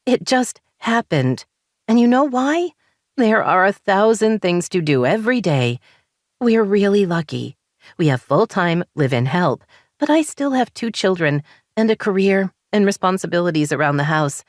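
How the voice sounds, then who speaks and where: A woman's voice: low-pitched, animated, normal speed, no room sound, studio quality. A woman voicing a hurt, frustrated married woman who presses her points insistently. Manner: heated, insistent.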